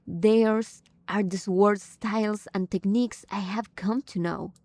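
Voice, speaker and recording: Unhurried, mellow woman streaming a game. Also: mid-range voice, fairly even intonation, dry recording. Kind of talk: casual gameplay reactions and reading aloud.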